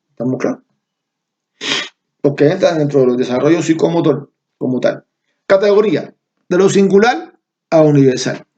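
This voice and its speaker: A man's voice: fairly high pitch, vocal fry, warm tone, dull audio. A middle-aged man from Cuba with a Cuban Spanish accent, giving an online university lecture, stressing key terms and checking in with students.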